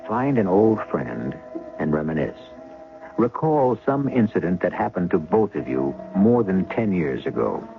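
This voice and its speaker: A man hosting and narrating a radio mystery drama, speaking to listeners in a wry, measured way. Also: deep voice, very animated, creaky. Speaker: host and narrator. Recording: tinny old radio broadcast.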